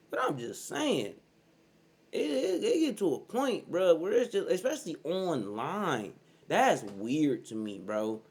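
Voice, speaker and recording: A man's voice: fairly high pitch, sing-song, slow pace, pauses often, darkish tone, breathy edge. A man in his early thirties giving blunt, profane reaction commentary as host, keeps saying "bro". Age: 30s.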